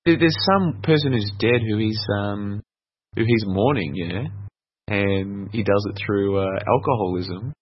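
A man's voice: fairly low pitch, measured pace, breathy edge, muffled recording. A man in his thirties hosting a podcast in a laid-back, casual chat, calling his guest "man" and "bro". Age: 30s. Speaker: podcast host.

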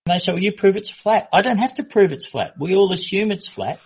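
A man in casual discussion, speaking in a measured way with stammering restarts. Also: high voice, expressive, breathy edge. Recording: muffled lo-fi recording.

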